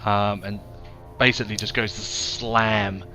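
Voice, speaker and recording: A man's voice: fairly low pitch, level tone, measured pace, bright, forceful tone, soft background music. A man with a New Zealand accent running a live tabletop game as game master, loose and playful, punchy when he narrates big hits.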